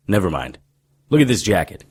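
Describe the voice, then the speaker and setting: An actor playing a wry, hard-boiled supernatural private detective in an audio drama, deadpan and sarcastic with a raspy voice. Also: male voice, sits low, brisk, a little hiss or hum. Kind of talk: audio drama dialogue and noir narration.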